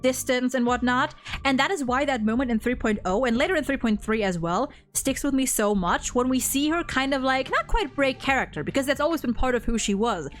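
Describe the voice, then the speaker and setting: A woman's voice: high voice, expressive, brisk, hardly any pauses, soft background music. A woman host talking casually about a video game, rambling and repeating words as she goes, with the odd casual swear.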